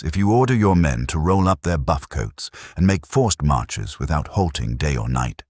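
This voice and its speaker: A man reading an audiobook as scripted narration, in a measured, solemn and declarative manner. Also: very deep voice, darkish tone, breathy edge, no room sound, studio quality.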